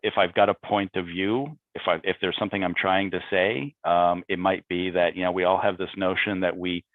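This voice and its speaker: Deep-voiced guy, playwright talkback, kinda halting n thoughtful, tinny call audio. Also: steady tone, no echo. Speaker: playwright on a panel. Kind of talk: reflective talkback discussion. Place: remote video call. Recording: phone-like narrow-band video call audio.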